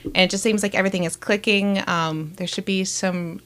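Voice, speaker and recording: A woman talking casually as a guest in an interview, bubbly and loud-voiced, often breaking into laughter. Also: medium pitch, fairly even intonation, a little fast. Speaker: roller derby skater, guest.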